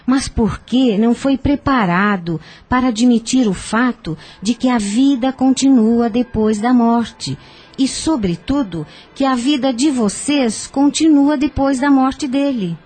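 An actress playing a wise, patient older aunt in a radio soap, giving solemn, measured spiritual counsel. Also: female voice, fairly high pitch, a little mellow. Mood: solemn, consoling. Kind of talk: radio soap opera acting. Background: music.

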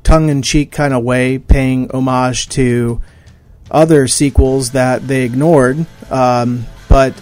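A man's voice: mid-range voice, fairly even intonation, slow, darkish tone, faint music behind. A man in his forties hosting a podcast on his own, talking casually with many fillers and restarts. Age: 40s. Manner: rambling, frequent fillers and restarts. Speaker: podcast host. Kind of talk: casual solo podcast talk.

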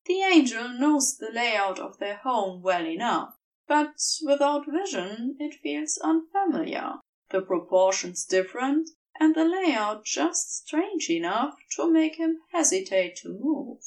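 A woman narrating a scripted story in a measured, expressive storytelling manner, recorded a little back from the mic. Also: sits high, distant mic, poor audio. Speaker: fan fiction audiobook reader.

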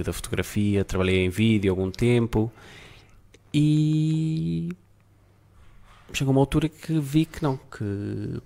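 A middle-aged man from Spain with a mixed Spanish and Portuguese accent, speaking as a guest and reflecting in a relaxed way. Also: medium pitch, creaky. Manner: reflective, many fillers and restarts. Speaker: guest photographer. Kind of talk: casual interview talk.

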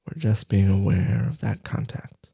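A man leading a guided meditation, soft-spoken, in short halting phrases with long pauses. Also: low-pitched, flat delivery, vocal fry, breathy edge, dry recording. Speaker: meditation teacher.

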